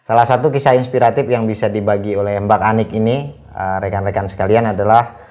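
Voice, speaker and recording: A man's voice: fairly low pitch, darkish tone. A young man from Aceh hosting a casual podcast interview, friendly and rambling with many fillers. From Aceh, Indonesia. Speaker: podcast host.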